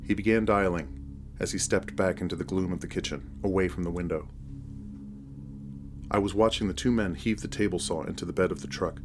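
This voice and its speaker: A man's voice: low-pitched, fairly even intonation, a little fast. A man narrating a scripted first-person horror story as its narrator.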